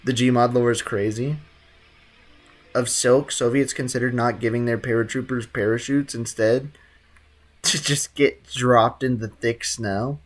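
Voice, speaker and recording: A man's voice: medium pitch, steady tone, unhurried. A man live-streaming a game, chatting casually and cracking irreverent, slangy jokes as he reacts to it and to viewers. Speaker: game livestreamer. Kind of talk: casual chat over gameplay, reacting and answering viewers.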